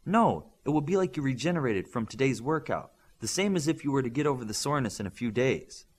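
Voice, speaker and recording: A man's voice: mid-range voice, expressive, fast talker, breathy edge. A man voicing a caring, matter-of-fact young female healer in a superhero audiobook, earnest and explanatory.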